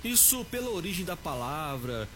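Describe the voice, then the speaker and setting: A man's voice: a little high, big pitch swings, moderate pace, noisy background. A man, a language teacher giving a short grammar tip on the radio and speaking straight to the listeners. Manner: explanatory, addresses listeners as "gente".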